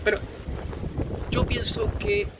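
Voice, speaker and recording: A man's voice: high voice, little pitch movement, slow pace, noisy background. A man from Peru with a Peruvian accent, giving an opinionated informal monologue in an emphatic, repetitive way with florid vocabulary. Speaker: video host.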